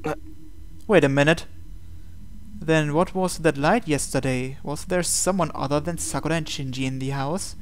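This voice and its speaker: A man's voice: a little high, normal speed, slight background noise. A man hosting a game playthrough, reading visual novel narration aloud expressively with casual asides.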